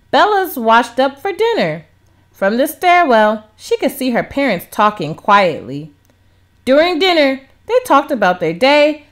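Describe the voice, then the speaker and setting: A woman reading a children's story aloud as scripted, expressive narration. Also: high-pitched. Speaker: storybook reader.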